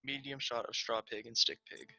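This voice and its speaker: A man's voice: mid-range voice, little pitch movement, a little fast, creaky, bright, forceful tone, no echo. A man reading screenplay shot directions aloud at a table read, over muffled video-call audio.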